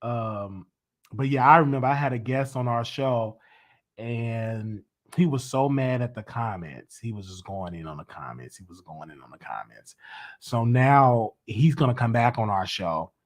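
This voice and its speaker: A man hosting a casual live call-in show, chatty and animated, his voice worn from talking. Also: normal speed. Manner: animated, pitch jumps high when excited. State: losing his voice. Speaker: host.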